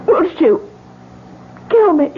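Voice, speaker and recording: High-voiced, desperate pleading wife. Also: female voice, very animated, slow, exaggerated voice. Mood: daring, tense. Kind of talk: 1970s radio drama acting. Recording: muffled, noisy vintage radio broadcast.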